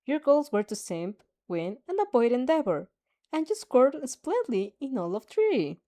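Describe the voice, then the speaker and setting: A woman's voice: sits high, big pitch swings, normal speed, warm tone. A woman reading a fanfic aloud, with laughing asides and her own reactions. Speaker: fanfic reader.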